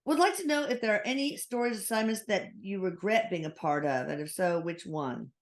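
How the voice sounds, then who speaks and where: A woman's voice: mid-range voice, animated, brisk. A woman host with a Texas accent, asking questions in a chatty, opinionated interview. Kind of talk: casual interview.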